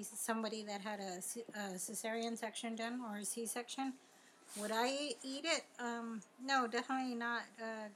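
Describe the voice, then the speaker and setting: Hesitant woman with a higher voice. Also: a bit bright, a little hiss or hum. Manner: plain, hesitant, many ums. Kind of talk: casual podcast chat.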